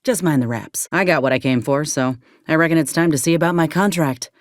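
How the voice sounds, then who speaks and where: An actress playing a tough, guarded, weary former combat medic in a video game, with a folksy, blunt, sardonic way of talking. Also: female voice, low-pitched, a little fast, no echo, clean studio sound, natural voice. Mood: guarded, matter-of-fact. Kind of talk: video game character acting.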